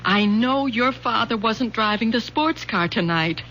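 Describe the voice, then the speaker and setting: High-voiced, fragile, anguished mother. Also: lively intonation, a little slow, a bit bright. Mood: pleading, earnest. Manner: anguished, pleading, theatrical. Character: lonely, fragile, bitter middle-aged mother and wife. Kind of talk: 1970s radio drama acting. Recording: dull, hissy old radio broadcast.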